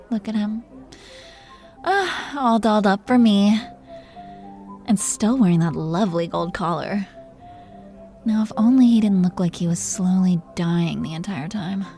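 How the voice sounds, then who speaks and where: A woman's voice: medium pitch, dark tone, lightly acted. Woman playing an imperious, teasing dragon queen. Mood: fond, amused. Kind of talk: intimate roleplay audio drama.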